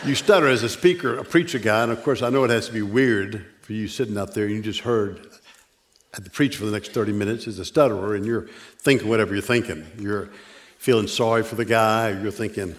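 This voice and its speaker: Older man preaching through a stutter. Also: fairly low pitch. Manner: stutters, with pauses and restarts, self-deprecating humor. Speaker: guest preacher. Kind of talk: sermon. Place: church sanctuary.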